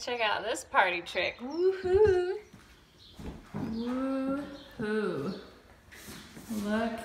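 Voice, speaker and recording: A young woman, chatty and upbeat, vlogging a home renovation on a phone camera while laughing often. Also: mid-range voice. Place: empty house, indoors. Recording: echoey empty rooms, noisy.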